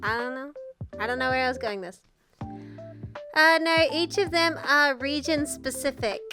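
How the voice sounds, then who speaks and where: High-pitched Australian woman, stuffy nose. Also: normal speed, sharp tone. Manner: giggly, rambling, swears casually. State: congested and nasal from the flu. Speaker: streamer. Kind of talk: casual chat reading viewer messages. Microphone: VR headset mic. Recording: streamed with background music. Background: music playing.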